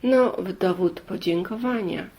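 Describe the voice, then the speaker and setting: Woman as male pilgrim, storybook, courteous, grateful, brief, unhurried, creaky. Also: sits high, a little mellow, full character voice. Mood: polite. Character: polite, grateful wandering male pilgrim in a folk tale.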